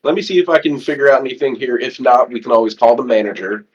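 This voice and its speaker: A man voicing a smooth, flattering male cashier handling a refund. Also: medium pitch, fast, lo-fi sound, pitched below the performer's own voice, lightly acted. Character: flirty male store cashier.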